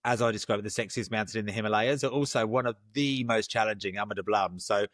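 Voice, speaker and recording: A man's voice: on the low side, fast, a bit bright, no echo, clean studio sound. A middle-aged man hosting a casual interview podcast, enthusiastic and a little rambling, often restarting his sentences. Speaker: podcast host.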